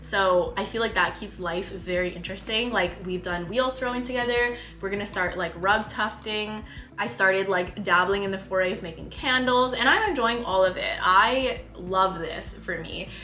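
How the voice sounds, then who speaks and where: Bright-voiced young woman chatting casually. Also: medium pitch, normal speed. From North America. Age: young adult. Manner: chatty, informal, peppered with "like". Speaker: parenting vlogger. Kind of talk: casual chat with partner. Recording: roomy echo, faint music behind.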